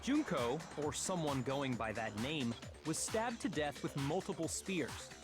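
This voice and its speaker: A man's voice: fairly high pitch, very animated, unhurried. A man voicing a skeptical, precise young male student reasoning through a trial in an amateur game dub. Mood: methodical. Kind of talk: amateur voiced reading of game dialogue. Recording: game music behind the voice.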